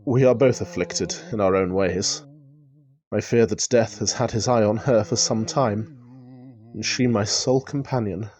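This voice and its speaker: An actor playing an anguished, reclusive gentleman in a gothic radio drama, speaking in a formal, fretful, melodramatic way. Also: on the low side, natural voice. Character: anguished, nervous reclusive gentleman, doomed last heir.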